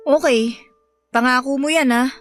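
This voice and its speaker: A woman's voice: sits high, fairly even intonation, normal speed, fairly bright tone, faint music behind. An actress playing a hurt, indignant young woman with a Filipino accent, sarcastic and accusing in an emotional radio love drama. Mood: softened, wary.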